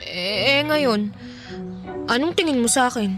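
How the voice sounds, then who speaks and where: A woman's voice: on the high side, expressive, unhurried, music in the background. An actress playing a touchy young tomboy turned girly in a radio drama, pouty and fishing for approval. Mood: pouty, irritated.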